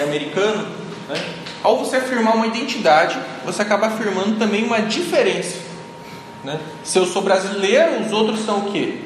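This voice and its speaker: A man giving a classroom lecture, thinking aloud and tagging his sentences with frequent questions to the listeners. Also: high voice. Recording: echoey hall, noisy ambience.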